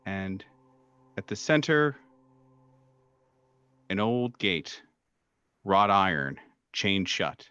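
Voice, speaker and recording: A man narrating a tabletop horror game as game master, halting and descriptive with frequent pauses. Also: sits low, big pitch swings, bright, forceful tone, clear, resonant tone, dry recording, lo-fi sound, soft background music. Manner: halting, full of fillers, descriptive.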